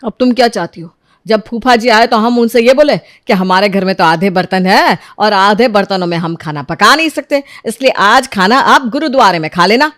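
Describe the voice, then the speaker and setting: A woman voicing a bossy, sarcastic young husband in an animated story, scolding his wife. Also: medium pitch, normal speed, dry recording, studio quality, lightly acted.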